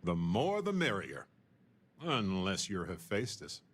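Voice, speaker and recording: An actor playing a witty, sentimental space explorer and scientist in a video game, with a wry, playful, musing manner. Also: male voice, low-pitched, wildly swooping pitch, takes it slowly, a bit bright, husky, full character voice. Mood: curious, upbeat. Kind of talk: video game dialogue acting.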